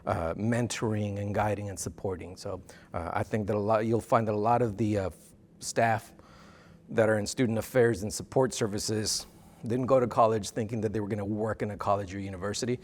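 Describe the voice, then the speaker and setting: Middle-aged guy from south texas, lowish voice, studio interview, lots of uhs and ums, lapel mic. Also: steady tone, brisk. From South Texas border region. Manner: thoughtful, many "uh" and "um" fillers. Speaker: interview guest, dean of students. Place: TV news studio set.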